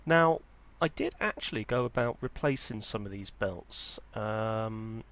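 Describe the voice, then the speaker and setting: A man's voice: fairly low pitch, expressive, unhurried, a bit bright. A man presenting an informal, unscripted walkthrough as a hobbyist, explaining things in a hesitant, hedging way. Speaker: presenter of a vintage audio hobby channel.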